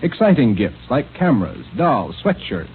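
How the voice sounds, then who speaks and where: A male announcer enthusiastically delivering a scripted 1930s radio pitch to children. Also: big pitch swings, moderate pace. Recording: scratchy, tinny old radio recording.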